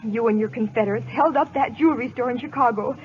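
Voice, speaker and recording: An actress playing a spirited, suspicious young American sweetheart, dramatic and insistent, in a vintage radio drama. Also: female voice, fairly high pitch, fast, a little mellow, natural voice. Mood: accusing, hurt. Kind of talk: 1940s-50s American radio acting. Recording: muffled, noisy old radio recording.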